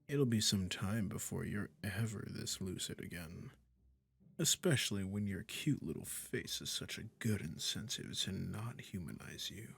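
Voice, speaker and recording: Man voicing a breathy, slow-talking possessive male witch. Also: on the low side, heavily acted. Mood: quietly menacing. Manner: condescending, cooing, breathy endearments. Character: possessive male witch, smug, doting, sinister yandere. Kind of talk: intimate ASMR roleplay acting.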